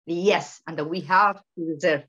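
Woman, from Venezuela, emphatic, halting, lo-fi dull recording. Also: on the low side, fairly bright tone. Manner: halting, many restarts and repetitions, emphatic. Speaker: guest, political researcher. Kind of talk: impassioned interview talk. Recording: dull lo-fi sound.

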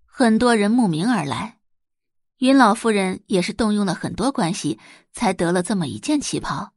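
A woman's voice: mid-range voice, lively intonation, moderate pace, dry recording, clean studio sound. A woman narrating a scripted audio drama as a storyteller, in a dramatic reading style.

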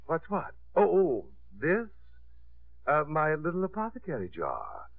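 Creaky, very animated wry suitor. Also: male voice, on the high side, a little slow, exaggerated voice. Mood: teasing, persistent. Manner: wry, teasing, expressive. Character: smooth-talking, persistent visiting sculptor, a teasing suitor. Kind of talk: 1950s radio acting. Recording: tinny, band-limited 1950s radio broadcast.

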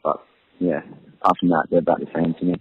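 A young man, a professional footballer, answering interview questions in a relaxed, hesitant way with many ums. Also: sits low, fast talker, husky. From Australia. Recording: tinny phone-line audio.

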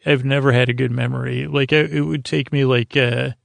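Male podcast host, gravelly and fry-laden, close dry sound, goofy stop-start bedtime rambling. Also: medium pitch, steady tone, no echo. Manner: halting, meandering, self-interrupting, goofy.

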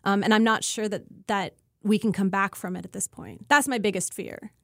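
A woman's voice: mid-range voice, steady tone, normal speed, gravelly, fairly bright tone, no room sound. Middle-aged Canadian woman, dry close sound. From Ontario, Canada. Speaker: interview guest, researcher. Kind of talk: conversational interview, explanatory.

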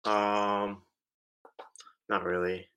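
A man who is a guest in a casual interview, answering in a hesitant, halting way. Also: on the low side, little pitch movement, bright, forceful tone, clean tone. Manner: hesitant, halting, with many "um" and restarts. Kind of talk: casual conversation.

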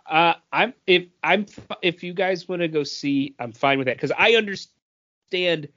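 A man's voice: on the high side, a little slow, fairly bright tone, no room sound, lo-fi sound. A man co-hosting a podcast, talking casually with the others. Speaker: podcast host. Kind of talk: casual group chat.

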